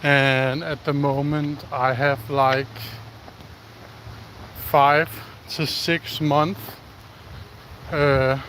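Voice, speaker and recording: Slow, halting Danish man outdoors. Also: on the high side, flat delivery, fairly bright tone, a little hiss or hum. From Denmark. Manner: slow, halting, many pauses and fillers. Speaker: live-streaming vlogger. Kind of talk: casual live-stream chat while walking outdoors. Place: outdoors in the countryside.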